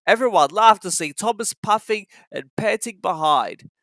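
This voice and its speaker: A narrator reading a children's story aloud in an expressive, scripted style. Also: mid-range voice, moderate pace, dry recording.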